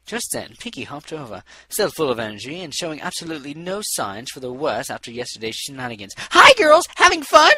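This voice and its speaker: A man's voice: medium pitch, very animated, fast talker, hardly any pauses, a bit bright, breathy edge, no echo. A man reading a scripted story as an audiobook narrator.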